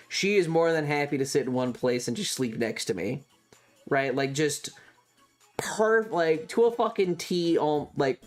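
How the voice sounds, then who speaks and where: A streamer telling a casual, rambling story, swearing freely and repeating words for emphasis. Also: mid-range voice.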